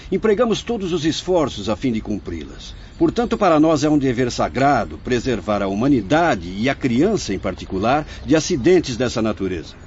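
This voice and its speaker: A man acting a wise, patient spirit mentor in a radio drama, with a Brazilian accent and measured, preaching delivery. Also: medium pitch, darkish tone, close to an everyday voice. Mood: solemn, instructive. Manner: measured, preaching, formal oratory. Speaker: actor. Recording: dull lo-fi radio recording, background noise.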